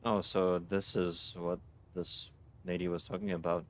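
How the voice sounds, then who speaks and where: A man's voice: low-pitched, little pitch movement, a little slow. A man live streaming casual gaming reactions, informal and often muttering to himself. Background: game music.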